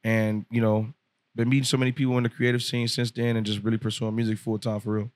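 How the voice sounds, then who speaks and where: Dry-voiced man in his twenties, animated storyteller. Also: medium pitch, quick pace, no echo. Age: 20s. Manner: animated, loose and profane, laughs often. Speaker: rapper guest. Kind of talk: casual podcast storytelling. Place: podcast studio.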